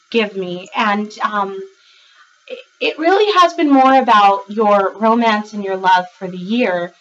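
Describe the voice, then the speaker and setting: A woman giving a rambling, chatty tarot reading to her viewers, a little raspy and congested from a cold, with many fillers and restarts. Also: mid-range voice, a bit bright, distant mic, muffled recording, slight background noise. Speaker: tarot reader. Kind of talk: casual monthly tarot reading to camera.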